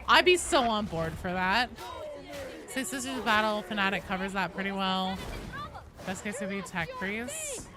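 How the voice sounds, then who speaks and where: A woman's voice: mid-range voice, sing-song, unhurried, crowd noise. A woman livestreaming a game, chatting casually and discursively about it, with frequent swearing. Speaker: game streamer. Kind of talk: casual livestream gaming chat.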